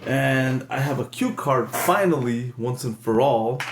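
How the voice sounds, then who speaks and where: A man hosting an improvised comedy show, rambling, halting and self-mocking. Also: mid-range voice, echoey room, very noisy. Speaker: comedy host. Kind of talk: improvised comic monologue.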